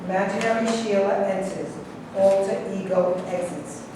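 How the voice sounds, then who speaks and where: A woman reads out stage directions in a middling pitch. Her voice comes from far off in a very echoey hall with clear noise. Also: steady tone, moderate pace. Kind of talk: scripted narration at a staged reading.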